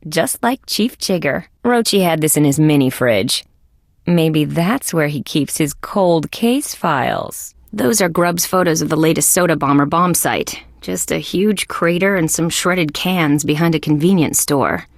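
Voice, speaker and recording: Casting: sardonic female bug cop for an adventure game; lowish voice, creaky, dry wisecracks. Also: measured pace, no room sound, heavily acted. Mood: dry, wry. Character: wry, sardonic female insect police detective. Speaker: video game character. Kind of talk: scripted adventure game voice acting.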